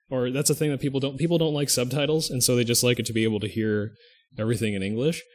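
A man co-hosting a podcast in casual chat, rambling and often stopping to correct himself mid-sentence. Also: mid-range voice. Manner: rambling, thinks aloud, restarts and self-corrects often. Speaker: podcast co-host.

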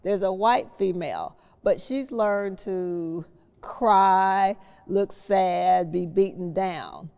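A woman speaking reflectively in a discussion, explaining things in a measured way as a host. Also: mid-range voice, gravelly, dark tone, clear, resonant tone. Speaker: podcast host. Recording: long echoing reverb.

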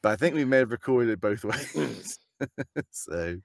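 Animated man with a dry close sound. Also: mid-range voice, a little slow, vocal fry, no echo. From United Kingdom. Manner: animated, laughing, jumps into put-on voices for quotes. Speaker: voice actor guest. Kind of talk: casual podcast chat.

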